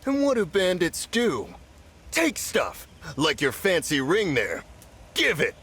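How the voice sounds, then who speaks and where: A man's voice: a little high, huge pitch swings, takes it slowly, fairly bright tone, husky, full character voice. A man playing a sly, gloating bandit sidekick in a video game cutscene, taunting and theatrical. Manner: gloating, echoes his partner's words.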